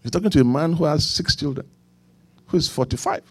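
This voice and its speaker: Nigerian-accented pastor preaching, dry sound. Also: male voice, fairly high pitch, brisk, breathy edge, no room sound. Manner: preaching with repetition and asides, swinging from emphatic to quiet. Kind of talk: sermon.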